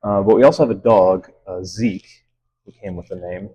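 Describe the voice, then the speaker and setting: A man who teaches math, answering interview questions in a relaxed, friendly way with many fillers. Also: low-pitched, normal speed, lo-fi sound. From Lebanon.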